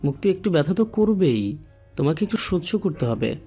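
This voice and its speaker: Male actor, young doctor, patient and reassuring, slightly high voice, music underneath. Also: big pitch swings, fairly quick, warm tone, slightly rough, full character voice. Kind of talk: Bengali audio story acting.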